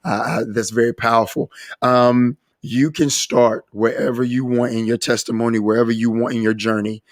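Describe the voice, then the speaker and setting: A man hosting a recovery podcast, earnest, his voice a little raspy from a cold, often restarting and repeating words. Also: mid-range voice, flat delivery, normal speed, no room sound, clean studio sound. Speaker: podcast host. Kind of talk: casual podcast interview.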